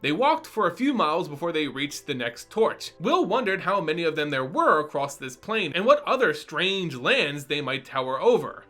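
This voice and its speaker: A man's voice: fairly high pitch, big pitch swings, moderate pace, few pauses, bright, forceful tone, soft background music. A man narrating a fantasy story as a dramatic reading.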